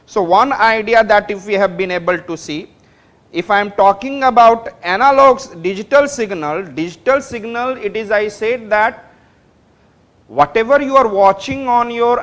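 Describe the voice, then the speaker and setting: A man with an Indian English accent teaches a class, recorded in a classroom. His voice sits high, and he repeats phrases as he builds each point, stopping often to ask whether his students understand. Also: fairly bright tone. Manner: repetitive, explains step by step, checks understanding. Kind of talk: classroom lecture.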